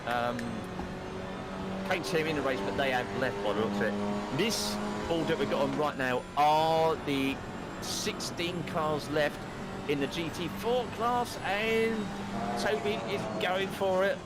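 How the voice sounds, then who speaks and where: A Cockney man in his fifties commentates a sim race live, excitable and chatty, slipping in casual swears and calling people mate. His voice sits a little high for a man. Loud music plays behind him. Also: a little slow. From East London, England. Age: 50s. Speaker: race broadcast commentator and streamer.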